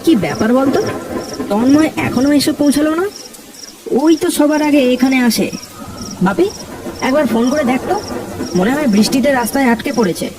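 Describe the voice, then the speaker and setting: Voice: high-pitched, lively intonation, fairly quick, pauses often, recorded from a distance, noisy background, pitched above the performer's own voice. A narrator voicing an easygoing young male college student, chummy and colloquial, in a cartoon ghost story. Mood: wondering.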